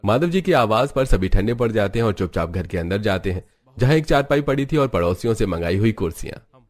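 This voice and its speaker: A man's voice: on the low side, very animated, brisk. A man narrating a scripted family cartoon story. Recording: background music under the voice.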